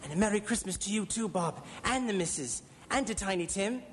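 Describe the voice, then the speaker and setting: Kindly cheerful nephew, high voice, earnest, polite, theatrical radio play, reverberant hall. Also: male voice, expressive, brisk. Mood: conciliatory, cheerful. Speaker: stage radio actor. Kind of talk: live radio drama, theatrical. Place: performing arts center stage. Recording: echoey theater hall, live radio broadcast.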